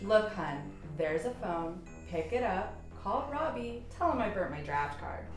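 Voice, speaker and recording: A woman's voice: medium pitch, lively intonation, measured pace, close to an everyday voice. Woman acting brusque doctor in echoing room. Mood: irritated, defiant. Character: brusque, defiant woman doctor absorbed in her experiment. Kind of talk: radio drama acting. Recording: very echoey room, faint music.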